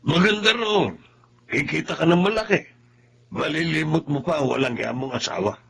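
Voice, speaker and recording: An actor playing a gruff, cynical old father who nags, in radio melodrama style. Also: fairly high pitch, moderate pace, fairly bright tone, slight background noise. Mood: bossy, insistent. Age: older.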